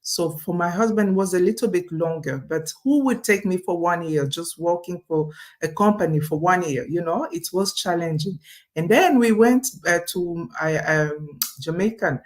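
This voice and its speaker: A woman's voice: on the low side, normal speed, a little mellow. A woman from Congo, a native French speaker with a Congolese French accent, telling her own story as an interview guest, repeating phrases for emphasis. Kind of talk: personal storytelling in casual conversation.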